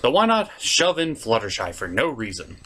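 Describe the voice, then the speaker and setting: A man's voice: medium pitch, wildly swooping pitch, moderate pace, bright, forceful tone, slight background noise. A man giving a scripted comedic review as host, with an exasperated, sweary, ranting delivery. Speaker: puppet-show reviewer and host.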